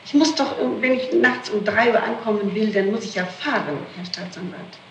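A woman's voice: mid-range voice, moderate pace, a bit bright. A woman answering questions as a suspect in a formal interrogation, emphatic and defensive. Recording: distant, noisy, echoey archival interrogation tape.

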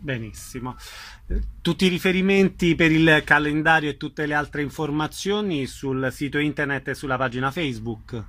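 A man's voice: on the high side, normal speed, a bit bright. A man hosting a live radio programme, talking to guests as an interviewer. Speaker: radio host.

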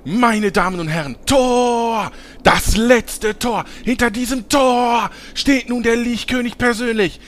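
Hapless fantasy hero: extremely high voice, pompous, slowish, overexcited, parody audio play. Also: male voice, a bit bright, heavily acted. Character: pompous, hapless young hero, self-important and easily rattled.

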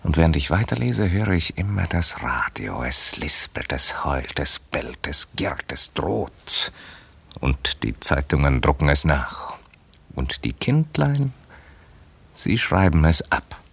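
A man giving a deliberate, emphatic, satirical literary reading with dramatic delivery. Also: a bass voice, sing-song, gravelly, fairly bright tone, breathy edge, no room sound.

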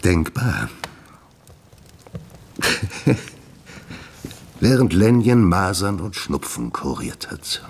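An actor playing a troubled, secretive gentleman scientist in a German radio play, urbane, condescending and evasive. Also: deep voice, sing-song, very slow, long pauses, slight background noise, exaggerated voice. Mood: amused, disdainful.

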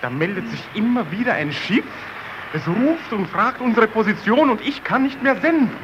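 A man's voice: high-pitched, big pitch swings, moderate pace. A male actor playing a desperate radio operator stranded on polar ice in a vintage radio play, cursing and calling out. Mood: frustrated despair. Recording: noisy, dull old radio recording.

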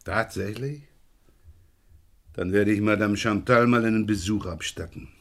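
An actor playing a middle-aged police inspector in a German radio crime drama, gruff, probing and impatient. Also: male voice, lowish voice, a little slow. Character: brisk, probing French police inspector, the boss.

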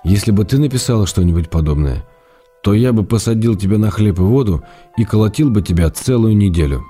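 Deep, mellow, wryly affectionate husband. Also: male voice, expressive, brisk, husky, faint music behind. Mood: wry, reassuring. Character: affectionate, wry, self-restrained writer husband. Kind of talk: dramatised reading of intimate letters, radio play.

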